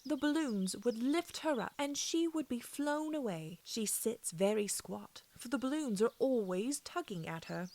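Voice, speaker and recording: A woman's voice: on the high side, a little mellow. A woman narrating a children's classic as an audiobook reader, in a scripted storybook style.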